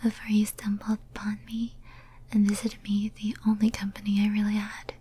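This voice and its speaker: A woman playing a lonely, obsessive female demon in a whispered ASMR roleplay, speaking softly and breathily in a tender, intimate, hesitant way. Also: mid-range voice, completely flat delivery, moderate pace, a little hiss or hum, close to an everyday voice. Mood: lonely, confessional.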